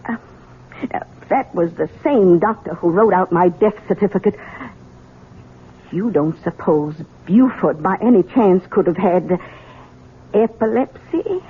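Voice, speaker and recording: Husky, bitter old mother. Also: on the low side, expressive, exaggerated voice. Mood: pondering. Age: older. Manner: sardonic, deliberate. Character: bitter old mother once declared dead. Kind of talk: 1970s radio drama acting. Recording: muffled 1970s radio recording, narrow band.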